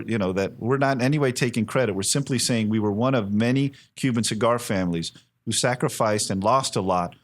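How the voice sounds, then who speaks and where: The earnest fifty-something American man you would hear as a company head being interviewed, making his points emphatically in a fairly deep voice. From Miami, United States. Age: 50s. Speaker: interview guest, cigar company president. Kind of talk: conversational interview, storytelling.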